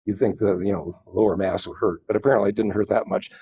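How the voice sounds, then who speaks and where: A man's voice: fairly low pitch, fast, mellow, slightly rough. A man presenting informally to a group, explaining in a rambling way with many hedging asides. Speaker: presenter at a space society meeting.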